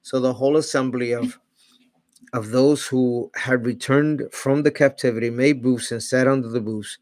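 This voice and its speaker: A middle-aged man reading scripture aloud in a small home studio on a desk microphone, steady, and correcting himself on hard names. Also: medium pitch, normal speed, dry recording. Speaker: Bible reader. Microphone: large studio mic on a desk arm.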